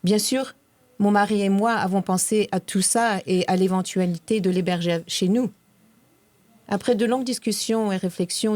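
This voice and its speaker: A woman co-hosting a podcast, reading a prepared personal reflection in a measured, thoughtful way. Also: mid-range voice, darkish tone, no room sound. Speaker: podcast co-host.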